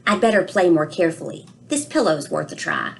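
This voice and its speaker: A woman's voice: lowish voice, sing-song, fairly quick, vocal fry. Anxious, literal-minded little boy played by a woman, fretting in singsong storybook rhyme. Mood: worried. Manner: worried, singsong rhyming delivery. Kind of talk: expressive storybook reading.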